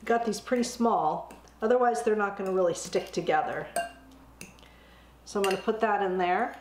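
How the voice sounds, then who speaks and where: A woman's voice: medium pitch, moderate pace. Middle-aged woman with a cold, casual step-by-step cooking demo, recorded in a roomy kitchen with faint room noise. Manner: friendly, chatty, step-by-step instructions. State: slightly hoarse from a cold. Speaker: cooking channel host. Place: home kitchen, indoors.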